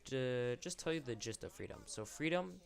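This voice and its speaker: A man's voice: medium pitch, lively intonation, moderate pace, creaky. A young man hosting an unscripted commentary, rambling and correcting himself, sick and losing his voice. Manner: rambling, self-correcting, candid. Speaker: YouTube channel host.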